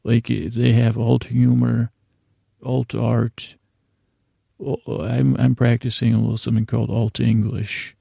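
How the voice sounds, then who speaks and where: Male sleep podcast host: dark, relaxed tone, dry close sound, meandering and stumbling delivery, gently comedic rambling. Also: medium pitch, gravelly, husky, no echo. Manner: halting, meandering, stumbles over words, circles back. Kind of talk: rambling, comedic bedtime monologue.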